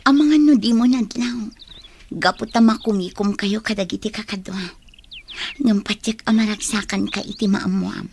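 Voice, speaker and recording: Creaky-voiced, hesitant young woman, acted. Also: medium pitch, normal speed, a little mellow, natural voice. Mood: nervous confiding. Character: hesitant young woman confiding a secret pregnancy. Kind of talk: radio drama acting. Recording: faint background noise with birdsong.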